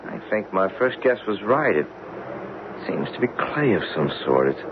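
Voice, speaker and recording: An actor playing a curious, easygoing geologist in a radio drama, thoughtful and measured. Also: male voice, fairly low pitch, big pitch swings. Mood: absorbed. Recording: noisy, muffled old radio broadcast.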